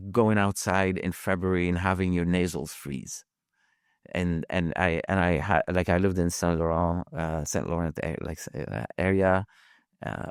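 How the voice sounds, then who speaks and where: Low-voiced man telling his story. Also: smooth, dry recording, clean studio sound. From Lebanon. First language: French. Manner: halting, many restarts and fillers, swears casually. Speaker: podcast guest. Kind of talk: casual storytelling.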